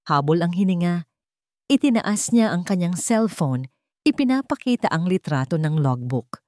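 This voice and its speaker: Low-pitched woman narrating drama. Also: lively intonation, normal speed, warm tone, dry recording, clean studio sound. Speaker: storyteller. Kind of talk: dramatic scripted story narration.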